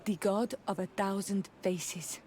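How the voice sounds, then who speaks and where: A woman's voice: medium pitch, steady tone, slow, a little mellow, slight background noise, natural voice. An actress playing a reverent native island woman defending her god in a video game. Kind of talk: video game cutscene acting.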